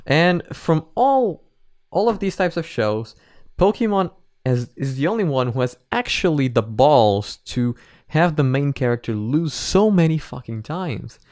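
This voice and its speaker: Clear-voiced man giving casual, rambling commentary. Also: fairly high pitch, big pitch swings, unhurried, a little mellow, dry recording, clean studio sound. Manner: rambling, emphatic, casually sweary. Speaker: reaction video host. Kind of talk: casual opinion commentary on anime.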